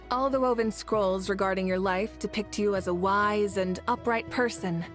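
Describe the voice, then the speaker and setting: A woman voicing a composed, sincere fire leader in a video game, polite and measured with a slightly tentative manner. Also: mid-range voice, faint music behind, natural voice. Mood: amused, polite. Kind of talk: English video game voice acting.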